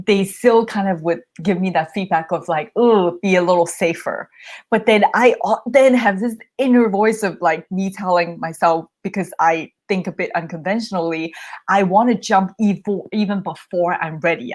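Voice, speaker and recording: Chatty young woman with a low voice. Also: a little mellow. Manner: chatty, laughs often, many fillers and restarts. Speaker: podcast host receiving coaching. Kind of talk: candid conversational chat.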